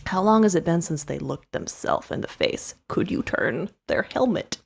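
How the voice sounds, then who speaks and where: A woman's voice: lowish voice, animated, normal speed, gravelly, slightly rough. A woman in casual conversation as a player in a tabletop roleplaying game, brainstorming the story with others. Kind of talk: casual collaborative storytelling chat.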